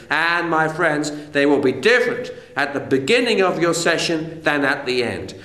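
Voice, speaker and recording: A man's voice: a little high, fairly bright tone, roomy sound. A middle-aged man giving presentation advice straight to camera, emphatic and full of rhetorical questions. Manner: emphatic, rhetorical questions, addresses viewers as friends. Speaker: keynote speaker. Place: hotel room indoors.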